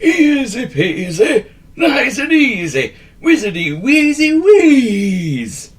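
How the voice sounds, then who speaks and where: A man voicing a large, fat, excitable male wizard who talks in a wheezy way, bossy and cheerful. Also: extremely high pitch, slow pace, voice pushed up, full character voice.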